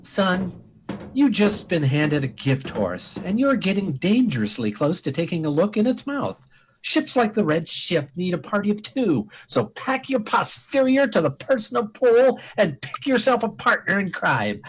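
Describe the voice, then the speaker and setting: A man as a high-pitched, blustery space admiral. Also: big pitch swings, a little slow, slight background noise, rough recording. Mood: stern, impatient. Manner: brassy, emphatic military bluster. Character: blustery, folksy, commanding male space admiral. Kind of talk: comic old-time-radio-style acting.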